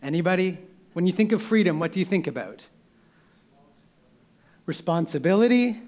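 Young male pastor, slightly high voice, throat catching, warm laughs, church handheld mic. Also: animated, normal speed. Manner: emotional, laughs often, loose restarts. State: scratchy throat, clearing it often. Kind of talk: sermon with casual asides. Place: church sanctuary. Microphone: handheld microphone.

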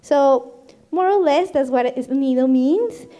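Colombian woman, high voice, light Spanish accent, shy friendly church greeting. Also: lively intonation, unhurried. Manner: laughs often, shy and gentle. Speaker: pastor's wife, ministry leader. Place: church sanctuary.

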